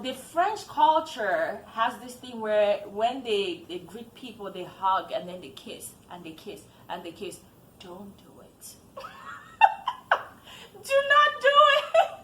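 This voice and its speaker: A woman's voice: a little high, fairly bright tone. A woman from Cameroon giving earnest advice to camera in a vlog, often repeating phrases for emphasis. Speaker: vlogger. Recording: echoey room with faint ambience.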